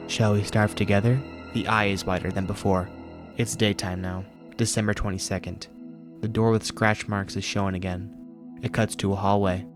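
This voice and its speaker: A man with a fairly low voice presents as an online video host. He reads scripted narration and commentary, and music plays softly underneath him. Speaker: YouTube host reviewing horror videos.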